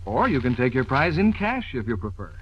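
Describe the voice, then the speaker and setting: A man's voice: mid-range voice, sing-song, a little fast. An American man announcing an advertisement in 1940s radio style. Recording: dull, faintly noisy old radio recording.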